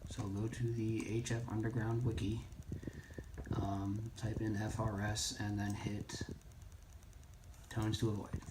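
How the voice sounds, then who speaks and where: Halting man giving a tutorial over noisy clicking. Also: fairly low pitch, level tone. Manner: halting, thinking aloud. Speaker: instructor in a radio how-to tutorial. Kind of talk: unscripted screen-demo tutorial. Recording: noisy, keyboard and mouse clicks. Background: noise, typing and clicking.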